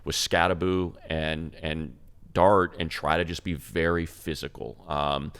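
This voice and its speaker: A man's voice: extremely low pitch, little pitch movement, unhurried, fairly bright tone, clear, resonant tone. A man working as a sports broadcaster, giving opinionated, conversational football analysis in a casual panel chat.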